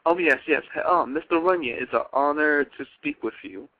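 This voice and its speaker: A man's voice: on the high side, normal speed. A man calling in to a radio show, asking questions in a deferential, rather formal and earnest way. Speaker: radio phone-in caller. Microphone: telephone. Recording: tinny phone line.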